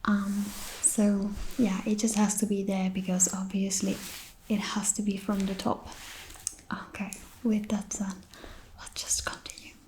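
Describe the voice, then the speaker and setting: Czech woman ASMR teacher, whispery soft voice, slow and flat, faint hiss behind. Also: medium pitch, low-quality recording. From Czech Republic. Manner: hesitant, many ums and likes. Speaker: ASMR host. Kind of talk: soft-spoken ASMR language lesson.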